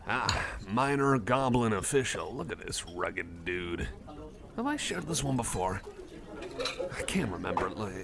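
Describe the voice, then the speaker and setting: A man playing a friendly, nerdy cyborg guy with a lowish voice, chatting casually in an ASMR roleplay. He rambles, hesitates and corrects himself, mildly keen on his hobby. Busy cafe chatter runs clearly behind him. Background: cafe crowd ambience.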